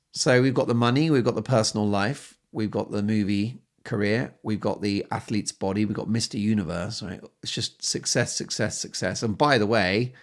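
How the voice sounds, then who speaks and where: A man's voice: on the low side, a bit bright. A man hosting a podcast in a chatty solo monologue, speaking emphatically and with opinion, often building his points up in lists. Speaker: podcast host.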